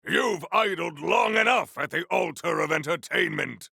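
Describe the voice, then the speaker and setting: An actor playing a noble, earnest warrior hero in a video game, speaking in a pompous, formal and grandiose way. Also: male voice, fairly high pitch, expressive, moderate pace, creaky, sharp tone, breathy edge, no echo, clean studio sound, heavily acted. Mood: fierce, battle-ready. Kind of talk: video game character voice acting.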